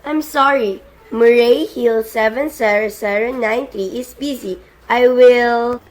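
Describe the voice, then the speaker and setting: A woman acting in an amateur suspense radio play as a polite, unflappable telephone operator with a formal, measured manner. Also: fairly high pitch, slight background noise. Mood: polite, detached.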